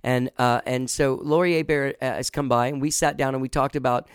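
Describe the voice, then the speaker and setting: A hoarse man hosts a casual talk show, chatting in a loose, rambling way with plenty of "uh"s and restarts. His voice sits in an ordinary middle pitch. The recording is dry, with little room sound. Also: steady tone, a little fast, dry recording. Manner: rambling, enthusiastic, with many fillers and restarts. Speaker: show host.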